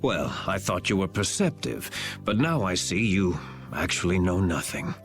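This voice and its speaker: Cryptic town sage, weary and superior, deep yet expressive, formal and deliberate game delivery. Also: male voice, over music. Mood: lecturing, superior. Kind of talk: anime-style game voice acting.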